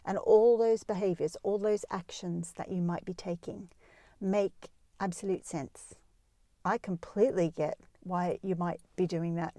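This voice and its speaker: A woman's voice: on the low side, dark tone, no echo. A woman hosting a parenting advice show, explaining things directly to listeners in a reassuring, validating way.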